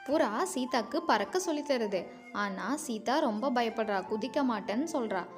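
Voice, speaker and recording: A woman's voice: fairly high pitch, moderate pace, soft background music. A woman storyteller giving scripted narration for children in simple, explanatory phrasing.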